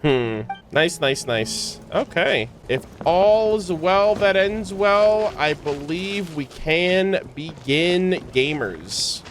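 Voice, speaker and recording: A man's voice: high voice, lively intonation, drawn-out words, few pauses, a little hiss or hum. A man giving casual live gameplay commentary as a streamer, using gamer slang and talking to his chat. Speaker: streamer reacting to a game. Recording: game music behind the voice.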